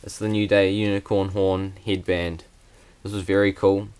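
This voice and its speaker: A man's voice: sits low, flat delivery, normal speed, a little mellow, slight background noise. A young man, talking hesitantly with many fillers in a casual vlog. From New Zealand. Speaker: vlogger.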